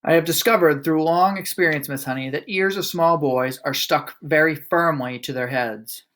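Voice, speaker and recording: A man voicing a loud, bullying female headmistress who barks and sneers. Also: on the high side, moderate pace, voice pushed up, lightly acted. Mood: smug lecturing. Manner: barking, scornful.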